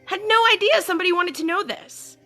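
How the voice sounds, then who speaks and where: A woman's voice: very high voice, fast talker, pushed and bright, faint music behind. A woman life coach talking casually to her followers on a livestream, earnest and repetitive, often checking in with "okay?". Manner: earnest, repeats phrases, many fillers and "okay?". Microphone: phone or webcam.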